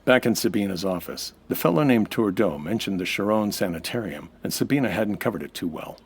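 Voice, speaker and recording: A man's voice: lowish voice, animated, brisk, slightly rough. A man playing a wry American nightclub owner and sleuth in old-time radio noir style, dry, tough and slangy. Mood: wry narrating.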